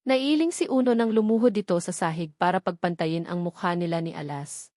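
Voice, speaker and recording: A woman's voice: on the low side, brisk, a little mellow, no echo, clean studio sound. A woman reading a scripted first-person romance novel as an audiobook narrator.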